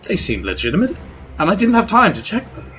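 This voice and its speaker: A man's voice: sits high, huge pitch swings, fairly quick, a bit bright, exaggerated voice. A man playing an anxious, dutiful Imperial lieutenant, formal and military, in a sci-fi audio drama. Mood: nervous deference. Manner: formal, military, slightly nervous. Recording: music behind the voice.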